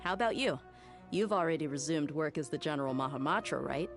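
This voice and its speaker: A woman's voice: sits low, animated, fairly quick. A woman playing a tough, outspoken, skeptical woman in a video game, blunt and challenging. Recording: game music under the voice.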